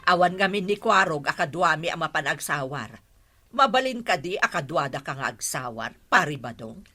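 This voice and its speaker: A woman's voice: low-pitched, lively intonation, normal speed, bright, forceful tone, full character voice. A woman with an Ilocano Filipino accent acting an earnest acting village captain in a radio comedy-drama. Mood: businesslike, indignant.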